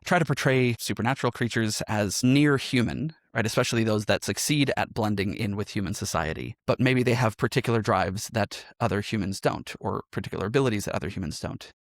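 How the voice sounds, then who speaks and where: A man's voice: lowish voice, brisk, few pauses, dry recording, clean studio sound. A man speaking as a podcast guest, explaining his creative work conversationally and often checking in with a questioning tag.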